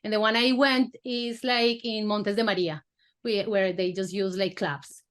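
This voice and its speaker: A woman's voice: mid-range voice, steady tone, normal speed, fairly bright tone. Spanish-native woman filmmaker, searching for words. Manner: searching for words, many fillers and restarts. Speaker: filmmaker guest. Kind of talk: casual interview answers.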